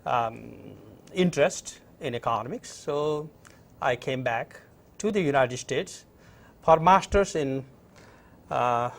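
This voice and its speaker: A middle-aged man from Nepal with a Nepali accent, answering interview questions conversationally in a halting way and repeating phrases. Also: mid-range voice, expressive, creaky. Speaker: interview guest, university professor. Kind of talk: conversational interview, recounting own background.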